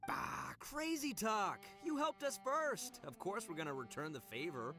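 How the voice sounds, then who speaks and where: Brash, wildly animated gang boss. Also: female voice, mid-range voice, measured pace, faint music behind. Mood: boisterous. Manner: loud, slangy, exclamatory. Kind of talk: video game voice acting.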